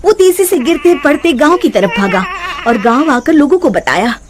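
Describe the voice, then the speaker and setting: A woman's voice: high-pitched, lively intonation, moderate pace, background noise. A woman narrating a scripted cartoon story.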